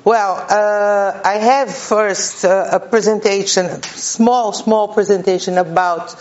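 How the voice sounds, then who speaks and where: A middle-aged woman from Brazil with a Brazilian Portuguese accent, a native Portuguese speaker giving an informal academic talk in a halting way with many fillers and restarts. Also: mid-range voice, fairly even intonation, darkish tone, highs cut off. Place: conference room.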